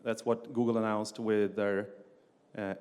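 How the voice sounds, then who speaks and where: A man's voice: lowish voice, level tone, unhurried, a little mellow, smooth. A middle-aged man from Sweden, giving a technical conference talk with many "uh" fillers and restarts. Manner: frequent "uh" fillers and restarts, plain explanatory delivery. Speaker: conference speaker, game engine developer. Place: conference hall on stage. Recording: long reverb of a lecture hall.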